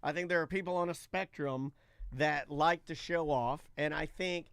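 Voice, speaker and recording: A man's voice: on the high side, moderate pace, a bit bright, clean tone, dry recording, studio quality. A man hosting a casual comedic talk show, bantering emphatically and repeating his phrases.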